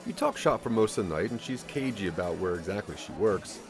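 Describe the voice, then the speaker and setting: Music sits clearly behind a man's lowish narrating voice. He reads a scripted second-person tale as a dramatic storyteller, and his pitch moves around in an animated way. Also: fairly quick.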